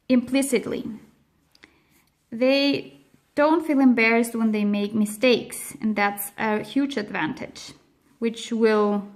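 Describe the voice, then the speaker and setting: A woman with a slightly high voice speaks English with a Swiss German accent; her first languages are Spanish and Swiss German. She teaches at an unhurried pace, pausing often and leaning on her key words. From grew up on the other side of the globe. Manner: slow, careful, emphatic delivery with frequent pauses. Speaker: language educator. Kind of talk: explainer video lesson.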